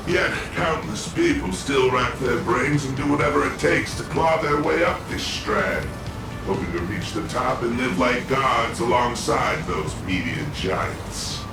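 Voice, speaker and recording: A man's voice: medium pitch, expressive, measured pace, creaky. An actor playing a weary superhero man who jokes at his own expense in a video game scene. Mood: wry. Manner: wry, joking, self-deprecating. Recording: distant, echoey game mix. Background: music.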